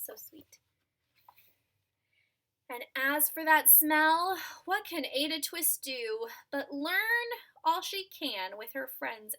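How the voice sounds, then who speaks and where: A woman's voice: high voice, sharp tone. A woman reading a picture book aloud to young children as a storyteller, narrating expressively and dramatically with small asides to the listeners.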